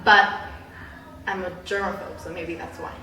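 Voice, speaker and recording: A young woman chatting casually in a personal vlog, with a bubbly, animated, giggly way of exaggerating things. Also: lowish voice, moderate pace. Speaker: vlogger. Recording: roomy echo, distant mic, background hiss.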